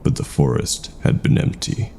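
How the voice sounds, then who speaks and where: A man with a gravelly voice narrates a scripted first-person horror story in a deliberate, suspenseful manner, leaving pauses between short dramatic phrases. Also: mellow, slight background noise.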